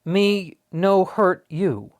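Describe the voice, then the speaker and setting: A storybook reader voicing an eager, polite young boy who pleads and talks fast when excited. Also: mid-range voice, voice pushed up. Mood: placating. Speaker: storybook character.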